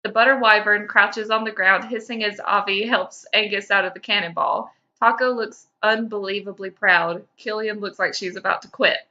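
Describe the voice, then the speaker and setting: A woman co-hosting a podcast, moving between casual slangy banter and expressive reading of fan fiction. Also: medium pitch, moderate pace, few pauses, muffled recording. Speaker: podcast co-host.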